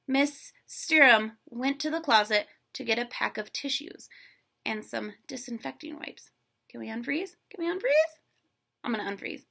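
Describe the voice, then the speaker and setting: A woman reading a children's story aloud in an animated, playful way, with chatty casual asides to young listeners. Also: fairly high pitch, vocal fry.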